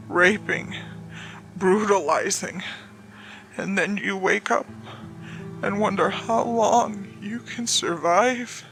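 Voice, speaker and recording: A woman voicing a weary, cowardly damned soul in a horror story, speaking slowly and haltingly with drawn-out pauses. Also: mid-range voice, vocal fry, fairly bright tone, voice pushed down, heavily acted. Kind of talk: horror story character acting.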